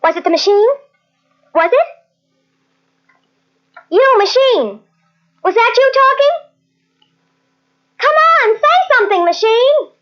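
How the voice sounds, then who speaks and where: A woman's voice: extremely high pitch, expressive, slow, long pauses, heavily acted. An actress playing a brassy, jealous young woman who commands and coaxes, in old-time radio drama style. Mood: suspicious, demanding. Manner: commanding, cajoling, wisecracking. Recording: muffled old radio recording from cassette.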